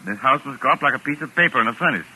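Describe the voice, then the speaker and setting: Cool-headed doctor, 1940s radio thriller, wry, calculating, husky edge, animated intonation. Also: male voice, mid-range voice, rapid-fire, heavily acted, low-quality recording. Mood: calculating, persuasive. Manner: urbane, sardonic, calculating. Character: wry, cool-headed male doctor held among gangsters. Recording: old 1940s radio broadcast recording.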